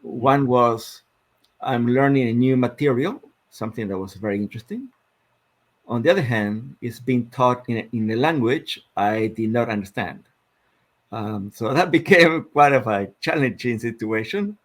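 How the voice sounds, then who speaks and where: A man in his fifties from Argentina with an Argentine Spanish accent, a guest telling his life story in a reflective, measured way with many fillers. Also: mid-range voice. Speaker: guest, sports psychologist. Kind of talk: interview storytelling.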